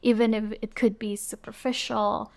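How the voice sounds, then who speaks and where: A woman's voice: fairly high pitch, flat delivery, normal speed, gravelly, darkish tone. Young Indonesian woman, reflective guest. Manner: thoughtful, frequent fillers and restarts. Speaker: podcast guest. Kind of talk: reflective conversational interview.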